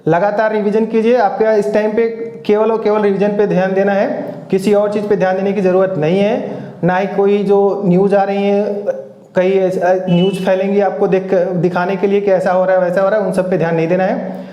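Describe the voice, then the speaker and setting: A man's voice: high-pitched, flat delivery, fairly quick, roomy sound, dull audio. A man giving informal, instructive advice as an exam coach, repeating his points for emphasis. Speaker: exam coach and channel host.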